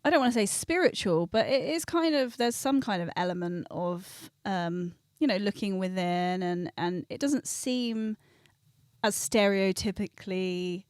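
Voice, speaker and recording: Give me a soft-spoken woman co-hosting a podcast, close dry sound. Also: mid-range voice, measured pace, clear, resonant tone, no room sound. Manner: soft-spoken, giggly, conversational. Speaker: podcast co-host. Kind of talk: casual podcast chat.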